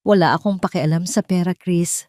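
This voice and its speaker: A sorrowful, gentle Filipino mother, as a woman narrator plays her in a romance audio drama. She speaks soothingly through her heartbreak, in a dry, close recording. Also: lowish voice, steady tone, moderate pace, no echo, natural voice. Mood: broken, weary. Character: heartbroken, tender mother and betrayed wife.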